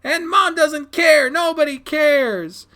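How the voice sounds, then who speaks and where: A man voicing an enraged, defiant adolescent male animal in a dramatic story, shouting in tearful, whiny protest. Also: very high-pitched, takes it slowly, voice pushed up, exaggerated voice. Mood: tearful rage.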